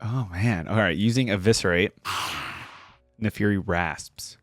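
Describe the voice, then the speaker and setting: A man's voice: lowish voice, unhurried, dry recording, faint music behind. Man, casual reaction host, dry sound. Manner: informal, thinking aloud.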